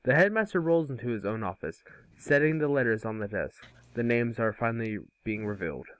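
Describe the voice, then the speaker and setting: A man's voice: mid-range voice, expressive, brisk, a bit bright, no room sound, low-quality recording. A man reading scripted scene narration informally at an amateur table read. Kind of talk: scripted narration of stage directions.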